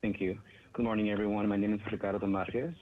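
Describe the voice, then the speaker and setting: A man from El Salvador, calling in to give an earnest, somewhat halting public comment. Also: lowish voice, little pitch movement. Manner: earnest, repetitive, slightly halting. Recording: tinny phone line with faint noise.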